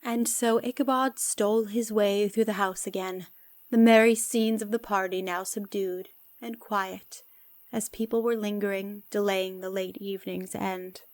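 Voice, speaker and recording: A woman's voice: mid-range voice, steady tone, unhurried, a little mellow, no room sound. A woman reading scripted narration as the storyteller of an audio drama.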